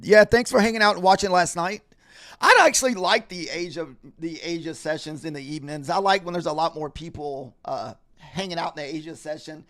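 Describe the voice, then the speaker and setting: A man hosting a trading live stream, chatting loosely with viewers and clearing his throat, his voice a little scratchy from talking all week. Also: a little high, fairly bright tone, no echo, clean studio sound. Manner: rambling, chatty, talks to viewers in chat. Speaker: trading livestream host. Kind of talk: casual live-stream chat.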